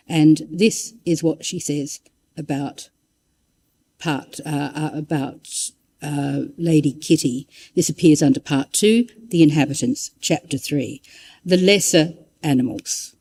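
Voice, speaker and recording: A woman's voice: low voice, mellow. A woman giving an informal history talk, conversational and wry, drifting into asides and reading out quotations. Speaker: public lecturer.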